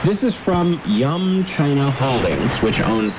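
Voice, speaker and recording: A man's voice: mid-range voice, very animated, slow, highs cut off. A man chatting casually as a co-host on a radio talk show. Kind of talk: casual chat. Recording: noisy shortwave radio reception. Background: loud radio static.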